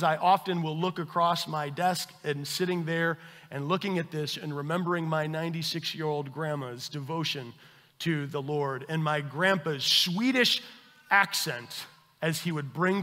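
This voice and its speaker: A man preaching a sermon as pastor, with earnest emphasis that swells and then drops to a hushed tone. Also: on the high side, big-room sound. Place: church sanctuary.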